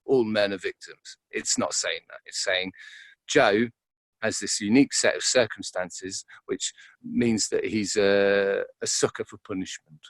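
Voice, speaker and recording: Low-pitched man, halting and creaky. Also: no echo, low-quality recording. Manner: halting, repeats words and phrases as he thinks. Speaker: actor being interviewed. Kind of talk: casual, reflective interview.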